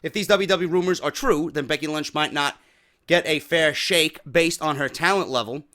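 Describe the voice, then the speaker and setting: A man hosting a wrestling news show, giving blunt, profane opinions and getting loud when worked up. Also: fairly high pitch, normal speed. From New York.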